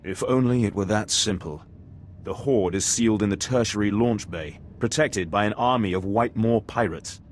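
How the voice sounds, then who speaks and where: A man's voice: lowish voice, normal speed, a little hiss or hum, close to an everyday voice. An actor playing a stern, formal male military commander in a video game, giving briefings with authority. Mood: grave, measured.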